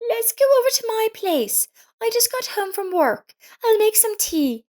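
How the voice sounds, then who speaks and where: Kindly, insistent neighbor woman, played by a female narrator, very high pitched, swooping, emphatic storybook character. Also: sing-song, a little slow, a little mellow, heavily acted. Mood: kind, soothing. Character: kind, insistent woman neighbor, concerned and chatty.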